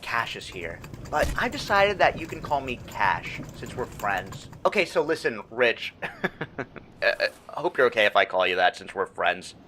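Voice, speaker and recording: A bright, very animated finance boss in a comedy game. He is rambling and self-serving, all fake friendship with threats tucked inside. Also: on the low side, moderate pace, slight background noise. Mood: fake friendly. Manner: overfriendly, rambling, hesitant asides. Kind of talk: comedic game voicemail acting.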